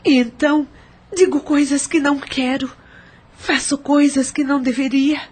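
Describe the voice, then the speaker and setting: Troubled Brazilian mother confessing her regrets, played by an actress, high voice, earnest audio drama. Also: little pitch movement, normal speed, a little mellow, close to an everyday voice. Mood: troubled, remorseful. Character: troubled, remorseful adult woman, estranged mother confessing.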